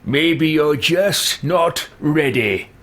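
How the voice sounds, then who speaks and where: A man's voice: fairly high pitch, extremely slow, fairly bright tone, heavily acted. A man voicing a haughty, grouchy, power-hungry old wizard in video game roleplay, theatrical and curt. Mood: annoyed, dismissive.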